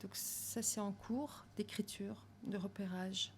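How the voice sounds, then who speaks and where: A woman's voice: mid-range voice, steady tone, slow, slight background noise. A woman film director talking as a guest in a café, reflective, with frequent fillers. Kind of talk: casual interview chat.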